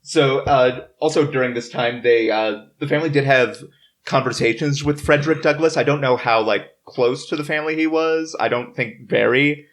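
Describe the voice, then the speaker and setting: A podcast host talking casually through history research in a halting, hesitant way. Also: mid-range voice. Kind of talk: casual history discussion among friends. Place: in person around a table.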